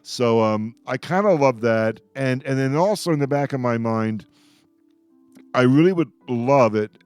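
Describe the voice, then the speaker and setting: A man hosting a radio roundtable, chatting as an enthusiastic fan in an effusive, halting way with repeated words. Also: mid-range voice, lively intonation, darkish tone, smooth, dry recording, soft background music.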